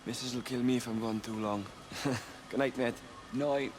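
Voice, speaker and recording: A man acting a young working-class father for a story-driven game, with a mid-pitched voice. He is blunt and irritable, speaking naturally over faint ambience. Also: fairly even intonation, moderate pace, background noise, lightly acted. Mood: relaxed, matey.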